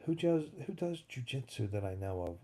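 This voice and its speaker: Man, 50s, live-stream host, very dark tone, casual rambling chat. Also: medium pitch, big pitch swings, normal speed. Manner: rambling, thinks aloud, answers chat comments. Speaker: livestream host.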